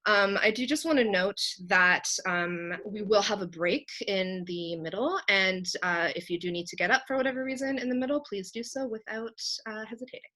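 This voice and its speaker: A young woman from Canada, a workshop facilitator whose first language was Korean, talking in a reflective way with frequent ums and pauses. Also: mid-range voice, bright, forceful tone.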